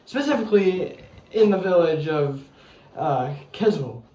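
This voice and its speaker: A man playing an earnest, self-pitying young Swiss doctor in an amateur comedy film, formal and deliberate. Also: fairly high pitch, animated, warm tone, highs cut off. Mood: earnest, solemn. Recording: echoey room, faint noise and chatter.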